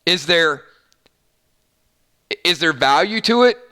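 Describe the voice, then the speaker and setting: Bright, upbeat male live-stream host. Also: a little high, animated, takes it slowly, clean tone. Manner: chatty, upbeat, lots of fillers and restarts. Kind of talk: casual live-stream chat reading viewer comments.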